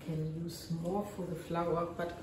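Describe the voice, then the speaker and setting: A German woman with a German accent, narrating her resin crafting casually and often pausing to search for English words. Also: on the low side, level tone, reverberant, distant mic, background noise.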